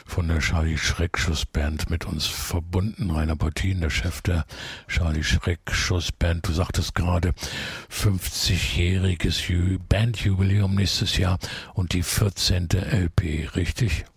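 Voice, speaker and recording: Gravelly male radio interviewer. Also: low-pitched, steady tone, a little slow, no room sound. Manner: upbeat, full of praise, hesitant fillers. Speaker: radio host.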